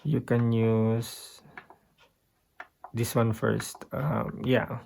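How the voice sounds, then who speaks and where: A man with a Filipino accent giving a casual, unscripted guitar gear demonstration, haltingly thinking aloud as he goes. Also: medium pitch, flat delivery, vocal fry, a little mellow, a little hiss or hum. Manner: halting, thinking aloud while pointing out settings.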